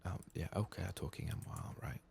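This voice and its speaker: A man doing a soft-spoken ASMR game playthrough, murmuring and often slipping into a whisper. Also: brisk, background noise. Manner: murmuring, drifting between soft speech and whisper. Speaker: ASMR gaming streamer.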